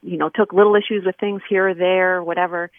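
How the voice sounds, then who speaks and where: A woman's voice: lowish voice, fairly even intonation, brisk, no echo. A woman guest explaining her scholarly work informally and conversationally in an interview over a remote call. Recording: thin phone-like line.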